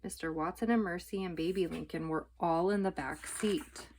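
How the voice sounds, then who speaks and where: A woman's voice: fairly low pitch, steady tone, normal speed, a little mellow, a little hiss or hum. Woman reading a children's storybook aloud. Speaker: storytime reader. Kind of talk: children's book read-aloud narration.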